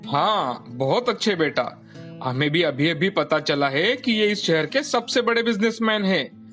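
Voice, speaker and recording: A man playing an authoritative hospital doctor in a storybook moral tale, with a brisk, businesslike manner. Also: high voice, expressive, fairly bright tone, soft background music. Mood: approving, curious. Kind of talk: dramatized Hindi moral story.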